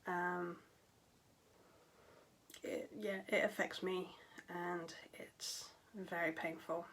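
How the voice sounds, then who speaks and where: Softly tired woman vlogging at home. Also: lowish voice, flat delivery, drawn-out words, gravelly, a little hiss or hum. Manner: candid, matter-of-fact, with frequent fillers and trailing phrases. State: tired and low on voice power. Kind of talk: casual talk to camera.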